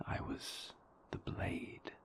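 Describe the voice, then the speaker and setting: A man playing a brooding, remorseful vampire boyfriend in an intimate audio roleplay, with a breathy, gravelly near-whisper and halting, formal, confessional phrasing. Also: natural voice. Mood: weary, confessional. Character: brooding, remorseful male vampire boyfriend, former royal enforcer.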